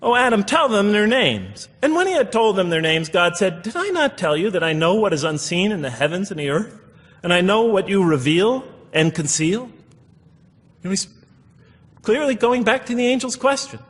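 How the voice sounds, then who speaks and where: A man's voice: sits high, very animated, moderate pace, fairly bright tone, breathy edge. A man giving a public lecture explaining scripture, emphatic and repeating phrases for stress, in a large hall. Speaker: religious lecturer. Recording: echoey hall with long reverb.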